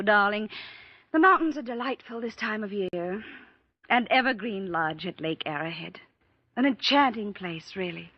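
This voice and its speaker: Silky, scheming radio villainess. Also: female voice, fairly high pitch, dry recording. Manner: sugary, sarcastic, theatrical. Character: scheming, silky, beautiful invalid stepmother villainess. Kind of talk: old-time radio drama acting. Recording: muffled old radio recording.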